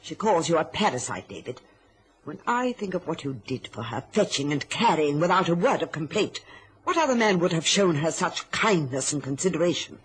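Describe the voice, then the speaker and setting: A woman's voice: sits low, big pitch swings, moderate pace, a little hiss or hum, poor audio. An actress playing a bitter, scheming, self-pitying middle-aged mother in an old radio drama, with an indignant, martyred, theatrical manner. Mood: scornful, goading.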